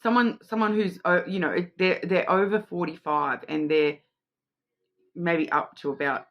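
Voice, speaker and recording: A woman's voice: on the low side, steady tone, normal speed, highs cut off. A middle-aged Australian woman with an Australian accent, giving an intuitive card reading to camera with lots of ums and restarts. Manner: rambling, many ums and restarts. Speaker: tarot card reader.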